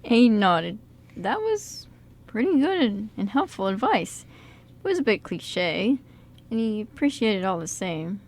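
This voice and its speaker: A woman's voice: mid-range voice, sing-song, measured pace. A woman reading scripted story narration for an amateur radio drama. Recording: some background noise and room ambience.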